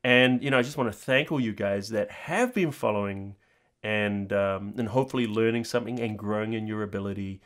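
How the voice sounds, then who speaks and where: A man's voice: fairly low pitch, brisk. A middle-aged man, chatting informally and in a rambling way to his viewers as a channel host. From New Zealand. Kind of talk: casual talk to viewers.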